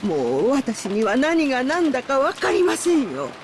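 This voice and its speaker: A woman's voice: high voice, sing-song, quick pace, slight background noise. A woman voicing an elderly female household servant in a game drama, polite and fretful. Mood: bewildered, distressed. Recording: heavy rain ambience behind the voice.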